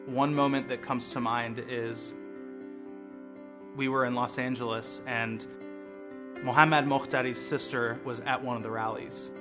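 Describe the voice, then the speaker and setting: A man's voice: medium pitch, fairly even intonation, measured pace, pauses often. American man, earnest and reflective, documentary interview, guitar music underneath. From United States. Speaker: lawyer and street photographer. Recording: music bed playing behind voice.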